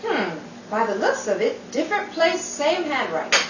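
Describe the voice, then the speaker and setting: A woman acts out a kindly, genial forties radio-play character. Her pitch is high and swings freely. The recording is noisy and distant, in an echoey room. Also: very animated, moderate pace, lo-fi sound. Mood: wry, caring. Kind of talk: 1940s-style radio play acting.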